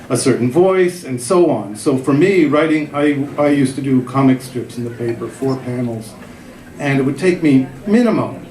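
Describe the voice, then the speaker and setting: A middle-aged man reads his own comic column at a literary festival with wry, self-deprecating timing and a voice of ordinary pitch. The sound bounces around a roomy venue. Faint ambient hum sits underneath. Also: darkish tone. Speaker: writer and former cartoonist. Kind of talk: reading his humorous column aloud at a live literary event. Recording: echoey room.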